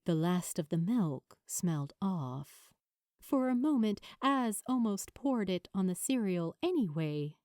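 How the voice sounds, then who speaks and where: A woman reading a story aloud as an expressive audiobook narrator, with dramatic, weighted pauses. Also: mid-range voice, dry recording, clean studio sound. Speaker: fan fiction narrator.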